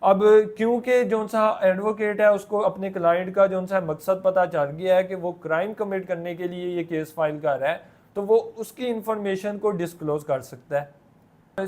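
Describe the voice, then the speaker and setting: Pakistani guy teaching, pretty high voice, explains step by step in everyday speech w english terms thrown in. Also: moderate pace, a little mellow. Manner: colloquial, repeats a habitual filler phrase, mixes in English terms. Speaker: law lecturer. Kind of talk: explanatory lecture.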